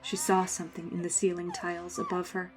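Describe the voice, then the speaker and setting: A woman's voice: fairly low pitch, brisk, over music, natural voice, poor audio. A woman playing a haunted adult survivor, narrating a scripted horror drama in a grave, suspenseful way. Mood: dread. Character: haunted grown woman narrating her frightening schooldays. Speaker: narrator and main character.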